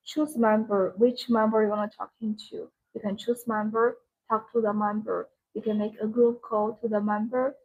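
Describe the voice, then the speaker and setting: A woman's voice: mid-range voice, warm tone, a little hiss or hum, poor audio. A woman who speaks English as a second language, presenting products on a live sales stream in simple, short, repeated phrases. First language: not English.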